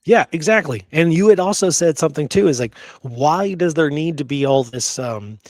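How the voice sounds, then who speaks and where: A man's voice: on the high side, animated, normal speed, a little mellow, no room sound, clean studio sound. A man hosting a history show, reading old sources aloud between chatty asides. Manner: chatty, with frequent "you know" and "okay" asides. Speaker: history podcast host.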